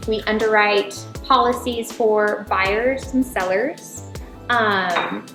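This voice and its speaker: A woman's voice: medium pitch, a bit bright. A middle-aged American woman giving casual, chatty explanations as an interview guest, often checking in with "right?". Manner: chatty, informal, peppers talk with "right?" and "you know". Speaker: interview guest, title insurance representative. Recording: roomy echo, music behind the voice.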